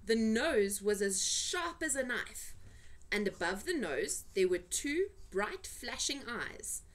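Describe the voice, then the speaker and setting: A woman's voice: on the high side, sing-song, takes it slowly, fairly bright tone. A woman reading a children's story aloud, with dramatic, suspenseful delivery and emphasized words. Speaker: amateur storyteller reading for family. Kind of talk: expressive children's storybook narration.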